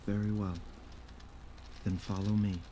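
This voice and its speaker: An actor playing a calm, learned doctor in a video game, speaking in a measured, formal way. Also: male voice, lowish voice, slight background noise, close to an everyday voice. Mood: solemn. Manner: measured, formal, careful diction. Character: calm, grave, learned male doctor and herbalist. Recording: game music under the voice.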